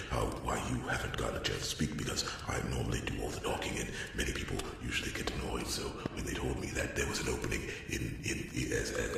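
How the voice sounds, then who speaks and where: A man playing a chatty, bashful dwarf blacksmith in an ASMR roleplay, rambling and stammering as he corrects himself in a gravelly voice. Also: heavily acted. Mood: nervous, bashful. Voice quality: very deep, gravelly. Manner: rambling, stammering, flustered repetitions and self-corrections. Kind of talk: ASMR roleplay character monologue. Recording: very echoey room, distant, faint noise.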